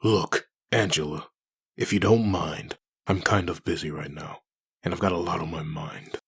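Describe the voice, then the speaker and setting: A man playing a gruff, confused undead antihero with a husky, gravelly voice in a comic dub. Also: low-pitched, steady tone, moderate pace, a bit bright, no echo, heavily acted. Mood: irritated, baffled.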